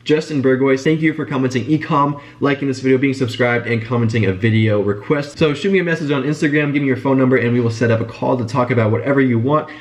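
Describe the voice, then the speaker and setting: A young man telling his story in a casual vlog while congested and sick with a stuffy nose. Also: medium pitch. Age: young adult. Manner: fast, chatty, informal. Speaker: business vlogger.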